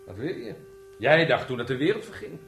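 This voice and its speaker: A man's voice: mid-range voice, lively intonation, fairly quick, a bit bright, faint music behind. An actor playing a sullen, grumbling middle-aged tradesman husband in a naturalistic radio play, aggrieved and plain-spoken. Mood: bitter, reminiscing. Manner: grumbling, plain colloquial speech.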